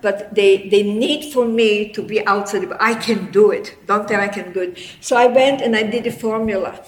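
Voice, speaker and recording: A woman in her eighties whose first language is German, telling her life story to an audience in a rambling, lively way with many restarts. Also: medium pitch, normal speed, roomy sound, rough recording. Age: 80s. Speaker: guest speaker.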